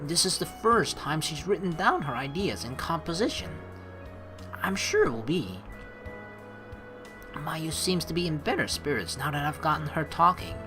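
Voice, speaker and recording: A woman doing a let's play, with a low voice and lively, swooping intonation. She narrates on-screen text and slips into playful commentary over background game music. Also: normal speed. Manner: playful, casual, teasing asides.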